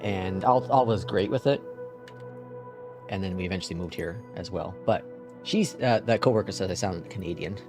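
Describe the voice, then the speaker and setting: Low-voiced Midwestern man thinking aloud. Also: moderate pace, over music. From Upper Midwest (Minnesota/North Dakota). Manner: mutters to himself while working the sim, mild swearing. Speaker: flight sim streamer. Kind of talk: casual live-stream commentary.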